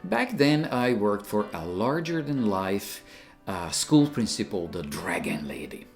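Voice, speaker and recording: A man's voice: on the low side, very animated, unhurried, gravelly, faint music behind. An older man from Hungary, a retired teacher giving an emphatic, opinionated scripted narration.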